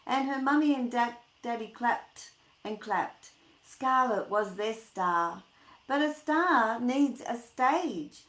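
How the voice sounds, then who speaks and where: Unhurried woman reading children's stories, echoey room. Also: fairly high pitch, fairly bright tone. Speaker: storytime host reading a picture book aloud to children. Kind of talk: children's story reading with informal chat.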